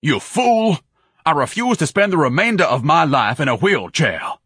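Pompous whiny fat man for an audiobook, husky, indignant, swoops all over. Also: mid-range voice, big pitch swings, moderate pace, a bit bright, no echo, voice pushed up. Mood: outraged bellowing. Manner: pompous, didactic, melodramatic. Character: obese, pompous, whining man, indignant blusterer.

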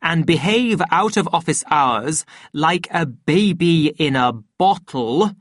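Woman voicing a loud, pompous male director denouncing someone. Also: low-pitched, expressive, slow pace, dry recording, clean studio sound, voice pushed up, heavily acted. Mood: solemn, accusing. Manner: grandiose, oratorical, emphatic. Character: pompous male director, stern public accuser. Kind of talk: audiobook character acting.

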